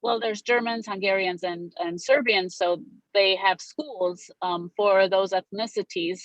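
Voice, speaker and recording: A woman's voice: lowish voice, no room sound, low-quality recording. A middle-aged woman born in Romania, a realtor guest chatting casually in an interview, gracious and full of fillers.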